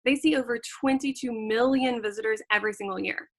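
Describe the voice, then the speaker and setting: A woman's voice: a little high, steady tone, a little fast. A woman hosting a travel show interview over a video call, upbeat and eager.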